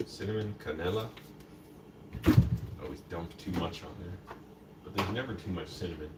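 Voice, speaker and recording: Low-voiced man rambling from a distant mic. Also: normal speed. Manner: rambling, talks to himself while mixing a bowl, drops in Spanish words. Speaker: streamer. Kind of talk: casual live-stream chat while making food. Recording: distant mic with noticeable background noise.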